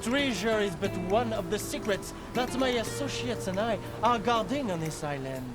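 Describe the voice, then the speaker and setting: A man's voice: high voice, expressive, normal speed. A man voicing a haughty, foppish noble duelist, smug and pompous, in a video game. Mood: sneering. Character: haughty, foppish aristocratic male duelist villain, smug and disdainful. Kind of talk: theatrical video game acting. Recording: loud music behind the voice.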